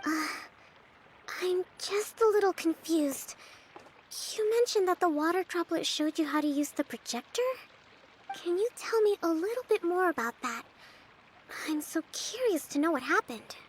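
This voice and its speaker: A woman voicing a gentle, thoughtful young female strategist in an anime-style game, speaking politely in measured phrases. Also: very high-pitched, expressive, a little hiss or hum, poor audio.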